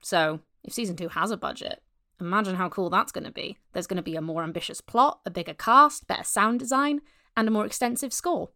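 A woman's voice: mid-range voice, fairly quick, bright, forceful tone, dry recording. Chatty woman in a dry, close recording. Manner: informal, enthusiastic. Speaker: podcast promoter, voice actor and director. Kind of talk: casual, chatty promo read.

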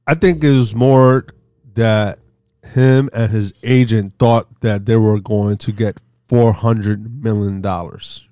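A man's voice: fairly low pitch, steady tone, slow pace, warm tone, dry recording, clean studio sound. A man in his forties talking baseball in a casual, rambling way as a co-host. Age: 40s. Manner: rambling, chatty, laughs easily. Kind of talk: casual sports talk.

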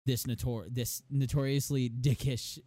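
A man's voice: medium pitch, level tone, unhurried, mellow, no room sound. A young man with a phlegmy, strained voice, telling a heated, profane story about a bad manager in casual chat. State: phlegmy, losing his voice.

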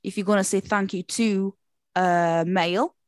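A woman from Portugal, a native Portuguese speaker, chatting casually in English and telling stories in a chatty, animated way. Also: mid-range voice, unhurried, no echo, studio quality. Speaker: performer who does shows. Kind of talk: casual chat.

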